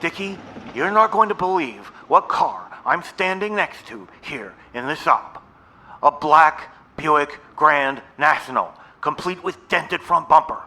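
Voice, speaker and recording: An actor playing an earnest, conspiratorial young vampire-hunting sidekick in an audio drama. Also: male voice, fairly high pitch, lively intonation, measured pace, bright, forceful tone, exaggerated voice. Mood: hushed excitement.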